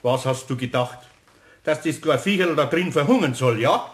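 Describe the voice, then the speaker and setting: A man's voice: mid-range voice, expressive, normal speed, natural voice. An actor playing a grumbling but kind-hearted older Bavarian craftsman in a children's radio play, scolding in a folksy way. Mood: stern, scolding.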